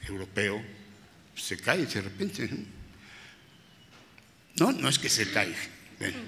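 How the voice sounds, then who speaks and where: A man's voice: on the low side, bright, forceful tone. Elderly man speaking in a reverberant hall. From Spain. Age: 80s. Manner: halting, reflective, with pauses and self-corrections. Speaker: restorer and panelist. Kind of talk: panel talk, personal reminiscence. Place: large museum hall with audience. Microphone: table microphone at panel. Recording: hall reverb from public-address room.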